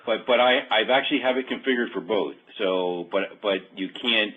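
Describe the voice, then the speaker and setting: A man giving an informal software walkthrough, explaining in a halting, hesitant way. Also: on the low side, husky. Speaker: software demonstrator. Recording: dull phone-like conference-call audio.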